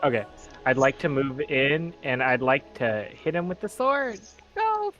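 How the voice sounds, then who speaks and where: A man's voice: medium pitch, sing-song, normal speed, fairly bright tone, dull audio, soft background music. A man talking casually as a player in a tabletop roleplaying game.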